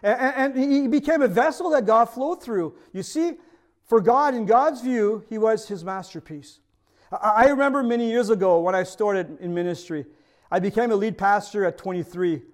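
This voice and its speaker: A middle-aged man with a French accent, his native tongue being French, delivers a sermon in a notably high voice. He preaches earnestly as pastor and keeps checking in with his listeners through rhetorical questions. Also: moderate pace.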